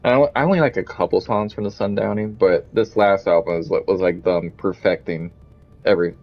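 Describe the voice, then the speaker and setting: A man in casual stream chat, relaxed and teasing, telling stories at length. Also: low-pitched, a little fast, highs cut off, faint music behind.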